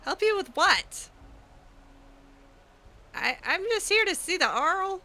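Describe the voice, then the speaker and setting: A woman's voice: extremely high pitch, sing-song, slow, pushed and bright. A woman giving casual, in-character roleplay commentary on a video game, sarcastic and exasperated. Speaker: gamer playing in character.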